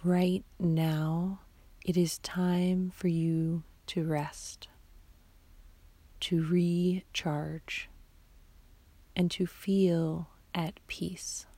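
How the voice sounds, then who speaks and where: A woman guiding a sleep meditation in a soft, half-whispered, soothing voice, leaving long pauses between short phrases. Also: lowish voice, flat delivery, no room sound. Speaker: meditation guide.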